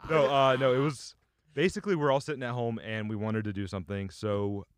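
A man's voice: medium pitch, steady tone, brisk, clear, resonant tone, dry recording. A man chatting casually with friends about making videos, joking and self-deprecating. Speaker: video producer. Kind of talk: casual group chat.

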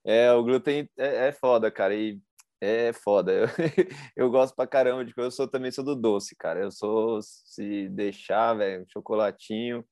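A Brazilian man hosts the conversation in a chatty, slangy, enthusiastic way, tossing in casual asides. His voice sits at an ordinary middle pitch. The sound is dry and close-miked. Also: fairly even intonation, unhurried, a little mellow, no echo. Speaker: podcast host. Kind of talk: casual interview chat.

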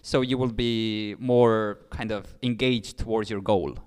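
A man's voice: fairly low pitch, fairly even intonation, a little slow, darkish tone, clear, resonant tone. Man, a chatty startup founder. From Estonia. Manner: explains ideas eagerly, many fillers like "like" and "uh", frequent restarts. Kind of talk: interview guest.

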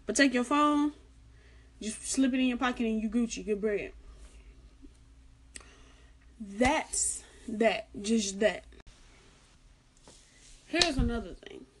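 A soft-spoken young woman with a light, girlish voice that sits a little high. She gives casual vlog advice in a chatty way and repeats her warnings for emphasis. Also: slow pace, pauses often, poor audio. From Arkansas, United States. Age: late teens. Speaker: vlogger giving school advice.

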